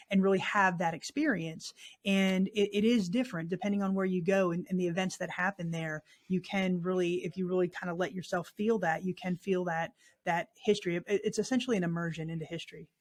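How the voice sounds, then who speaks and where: A woman who investigates the paranormal, earnestly explaining her approach as an interview guest. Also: fairly low pitch. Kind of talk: interview answers.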